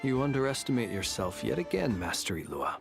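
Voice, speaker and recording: A man's voice: medium pitch, big pitch swings, a little fast, vocal fry, music in the background. An actor playing a modest, formal, dryly witty man in a video game. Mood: courteous, encouraging. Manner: formal, elaborate politeness.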